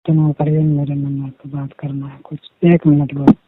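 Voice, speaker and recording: A tinny, narrow phone line carries a young man. His voice is a bit higher than most men's, and he talks insistently and pleadingly, as in a private call. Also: completely flat delivery, normal speed, no echo.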